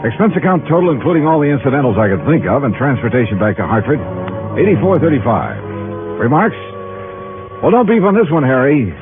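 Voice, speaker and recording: An actor playing a tough, wry American investigator in a 1950s radio detective drama, sardonic and punchy. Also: male voice, medium pitch, very animated, brisk. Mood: wry narration. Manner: hard-boiled, sardonic, punchy. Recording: muffled 1950s radio broadcast, organ music.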